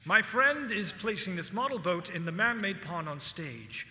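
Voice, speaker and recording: Actor playing a grandiose visionary inventor in a reverberant hall. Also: male voice, high-pitched, sing-song, normal speed, full character voice. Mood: triumphant showmanship. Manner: declamatory, grand, formal. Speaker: stage actor in a musical. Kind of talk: theatrical stage oration. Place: stage of a reverberant theatre. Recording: live theatre hall reverb, loud music.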